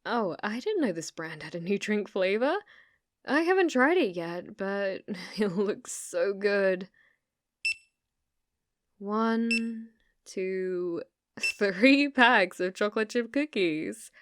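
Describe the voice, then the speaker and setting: Slow, soft-spoken British shop girl played by a woman. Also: medium pitch, expressive, noisy background. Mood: chatty, teasing. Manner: hesitant, apologetic, solicitous, with soft giggles. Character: sweet, shy shop clerk woman, secretly obsessive and possessive. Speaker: ASMR roleplay performer. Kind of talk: soft-spoken ASMR roleplay acting.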